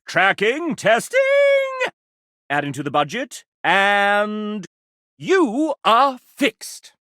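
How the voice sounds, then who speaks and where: Flamboyant, swooping game host. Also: male voice, high-pitched, pushed and bright, dry recording, studio quality, heavily acted. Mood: fussy, determined. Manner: arch, dramatic, drawn-out phrasing with big swoops. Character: flamboyant, theatrical male game-developer host, fussy and sardonic. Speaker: video game character acting as game show host. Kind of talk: theatrical video game voice acting.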